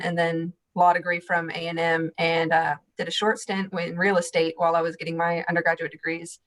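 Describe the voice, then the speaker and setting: A woman's voice: fairly low pitch, fairly bright tone, rough recording. A woman in her thirties, a congressional staffer, giving a matter-of-fact account of her background and work. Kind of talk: panel introduction.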